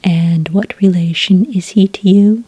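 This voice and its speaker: A woman doing a soft-spoken ASMR roleplay as a polite, patient clerk, whispering gently close to the microphone. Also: lowish voice, steady tone, dry recording. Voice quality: whispery, breathy. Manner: gentle, polite, unhurried customer-service patter. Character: friendly, patient female registration clerk at a hunting agency.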